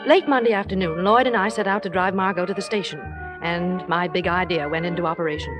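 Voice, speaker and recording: Polite, anxious society woman actress, measured and low, 1950s radio drama with musical underscore. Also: steady tone, music in the background, natural voice. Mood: confiding. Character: genteel, anxious woman friend narrating her guilty scheme.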